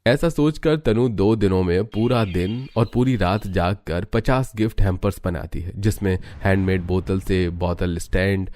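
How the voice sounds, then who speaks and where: A man's voice: sits low, normal speed, hardly any pauses, darkish tone. A man reading scripted narration for a family cartoon story.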